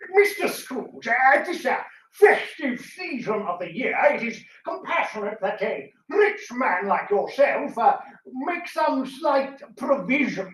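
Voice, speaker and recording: A man playing a timid, deferential Victorian petitioner or clerk, halting and obsequiously polite in a stage reading. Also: very high voice, very animated, fairly bright tone, heavily acted. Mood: polite pleading. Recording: roomy, distant mic.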